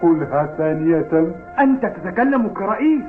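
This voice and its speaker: A man's voice: high voice, sing-song, normal speed, music in the background. A man voicing a cocky, mocking school footballer who challenges his rivals in an Arabic cartoon dub. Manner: mocking, provocative. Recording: tinny, phone-like old TV dub audio.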